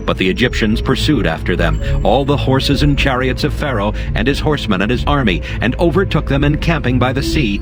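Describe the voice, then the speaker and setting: A man narrating a dramatized scripture reading in a slow, steady and formal way. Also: mid-range voice. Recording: music underneath.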